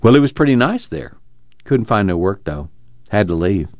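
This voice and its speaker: A reader acts a plain-spoken young farm laborer with a drawl. He is blunt and skeptical, his pitch moving freely in this audiobook. The recording is dry and a little muffled. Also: very animated, moderate pace, darkish tone, no room sound. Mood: glum. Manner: drawling, ungrammatical rural speech.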